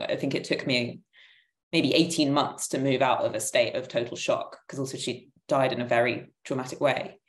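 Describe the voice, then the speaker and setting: A woman in her thirties reflecting hesitantly in a panel discussion, searching for words. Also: extremely low pitch. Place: living room, indoors. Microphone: video call, laptop at arm's length. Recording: roomy, echoing video call.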